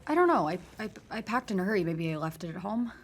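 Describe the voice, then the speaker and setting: A young actress playing a polite, vulnerable teenage hitchhiker in an audio drama, speaking hesitantly and self-effacingly. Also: female voice, mid-range voice, sing-song, fast. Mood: flustered, hesitant. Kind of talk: naturalistic audio fiction acting.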